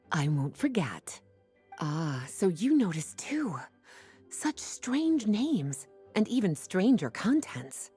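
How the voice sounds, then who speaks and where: A shrewd, languid librarian from a video game, with a mellow, breathy voice. She sounds teasing and composed, with an expressive lilt over quiet music. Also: mid-range voice, full character voice. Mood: teasing, friendly. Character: languid, flirtatious, shrewd adult woman librarian.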